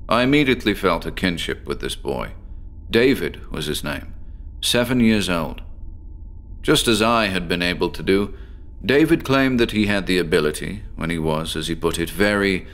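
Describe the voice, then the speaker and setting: A man playing an eccentric, sleep-deprived professor who tells a doctor about his strange experiments in a horror audio drama, speaking in a measured, deliberate way. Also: deep voice, fairly bright tone. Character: eccentric obsessive male professor, sleepless, confessional.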